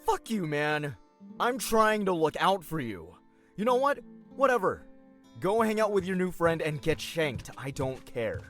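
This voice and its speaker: Grumpy protective older brother, young, animated, audio drama, bit of music behind. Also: male voice, a little high, measured pace. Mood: angry. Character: cynical, hot-tempered, protective older brother.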